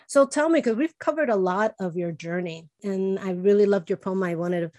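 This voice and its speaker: A woman's voice: medium pitch, normal speed, dark tone, no room sound. Conversational woman host, dry sound. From Chicago. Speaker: podcast host. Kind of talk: casual interview chat.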